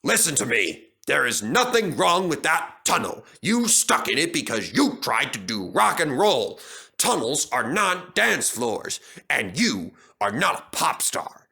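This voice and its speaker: A man's voice: fairly high pitch, sing-song, unhurried, gravelly, bright, forceful tone, full character voice. A man voicing a severe, lecturing male railway boss. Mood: stern.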